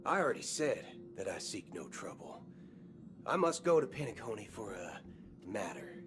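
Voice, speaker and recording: An actor playing a swaggering, wry cowboy gunslinger in a video game, talking in folksy slang. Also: male voice, on the low side, sing-song. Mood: drawling. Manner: folksy slang, slow drawling delivery. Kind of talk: video game cutscene acting. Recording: game audio with background music.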